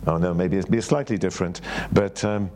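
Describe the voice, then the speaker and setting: An older Welsh man delivers a sermon and reads scripture at an easy pace, pausing often with small fillers. His voice sits low, and faint background noise hangs under it. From Wales. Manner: gentle, unhurried, with frequent ums and uhs. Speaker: church secretary giving a sermon.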